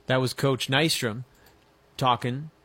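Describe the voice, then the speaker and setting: A man's voice: mid-range voice, fairly even intonation, takes it slowly, a bit bright, slightly rough. A man in his twenties hosting a sports talk show, dry and teasing as he steers the talk. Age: 20s. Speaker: sports radio host.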